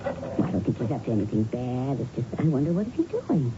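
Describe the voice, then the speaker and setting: An actress playing an observant, quietly composed policeman's widow in a radio drama, speaking thoughtfully and haltingly. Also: wildly swooping pitch, exaggerated voice. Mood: uneasy, understated. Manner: low-key, thoughtful, halting. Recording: dull, noisy old radio recording.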